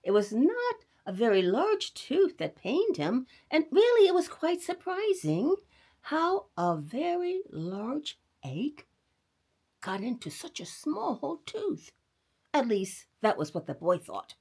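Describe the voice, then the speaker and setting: A woman storyteller hosting a read-aloud for children, talking directly to her young listeners in an expressive, animated way. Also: high voice, slow pace.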